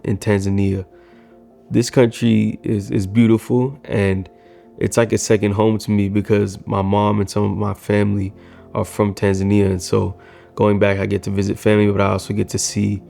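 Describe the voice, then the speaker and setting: A man's voice: lowish voice, vocal fry, warm tone. A male filmmaker, a native Swahili speaker, talking in a casual vlog, thoughtful and candid as he critiques his own work.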